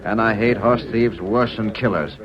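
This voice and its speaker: Cantankerous frontier lawman played by a man, gruff talk. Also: fairly low pitch, moderate pace, a crowd in the background, natural voice. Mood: indignant. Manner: gruff, folksy frontier grammar. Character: stubborn, cantankerous frontier lawman hating horse thieves. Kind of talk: old-time radio Western acting. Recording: dull old radio recording, busy background.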